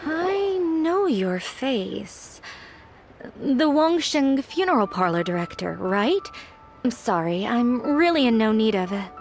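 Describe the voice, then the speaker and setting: A woman's voice: on the high side, very animated, slow pace, faint music behind, full character voice. A woman voicing an adult townswoman who turns people down politely but firmly, in video game dialogue. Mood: reluctant. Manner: apologetic then firm.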